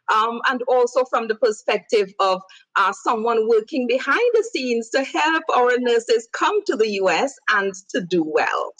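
A woman's voice: a little high, a bit bright, no echo, low-quality recording. A woman with a foreign accent speaking politely as a panel guest. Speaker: clinical operations director, panel guest. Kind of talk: online panel talk.

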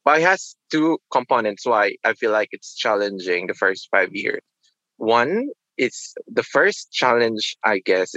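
A man, talking in a reflective, halting way as a nurse being interviewed. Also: mid-range voice, bright, forceful tone, dry recording, lo-fi sound. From Philippines. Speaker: nurse guest. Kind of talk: casual interview.

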